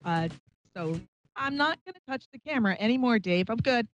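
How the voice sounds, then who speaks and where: A woman's voice: on the high side, animated, fairly quick. A woman hosting a live call-in radio show in a chatty, scattered, self-deprecating way. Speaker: radio talk show host, psychic medium. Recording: dull, roomy live stream audio.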